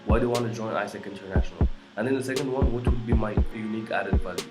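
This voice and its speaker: A man in his twenties from Morocco giving an earnest, measured personal pitch, pausing between listed items. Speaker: candidate. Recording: voice over loud background music.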